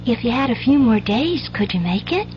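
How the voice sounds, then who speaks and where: A woman's voice: fairly high pitch, big pitch swings, normal speed, a little mellow. An actress playing an earnest, stubborn little girl with a rural American accent in a radio drama. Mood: hopeful. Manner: earnest, childlike. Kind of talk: 1970s radio drama acting. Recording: dull old radio recording with hum.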